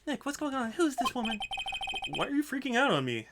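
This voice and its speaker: A woman voicing a spirited, impulsive young woman from a mystery game, speaking higher than her own voice and in a quick, indignant rush. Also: sing-song, over loud music. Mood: worked up.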